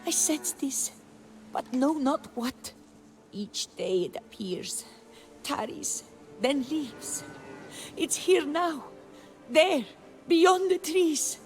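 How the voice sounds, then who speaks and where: An actress playing a haunted, fragile woman in a video game, speaking formally in a halting, anguished way. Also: sits high, lively intonation, soft background music, full character voice. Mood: frightened.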